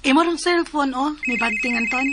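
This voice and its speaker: A woman's voice: sits high, fairly quick, lightly acted. A woman with a Cebuano Filipino accent acting a sweet, concerned young woman in a radio drama.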